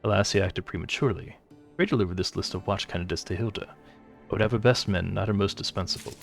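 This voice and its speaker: A man plays a courtly fantasy game commander, grave and reserved. The voice is fairly low and creaky, the wording measured and archaic, with music underneath. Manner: measured, archaic, formal diction.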